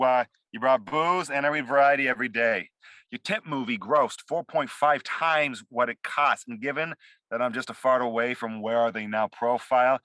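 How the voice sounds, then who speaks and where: Guy doing a wasted hollywood boss for a comedy read, erratic n sweary, forceful bright voice, dry. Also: medium pitch, dry recording, natural voice. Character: drunk, drug-addled, crude male boss, erratic and needy. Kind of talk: comedy screenplay table read. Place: remote, over a video call. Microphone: video call.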